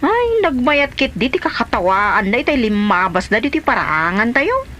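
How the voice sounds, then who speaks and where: Animated, touchy Ilocano housewife played by a woman. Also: a little high, normal speed, full character voice. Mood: indignant. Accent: Filipino, Ilocano. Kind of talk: comedy radio drama acting.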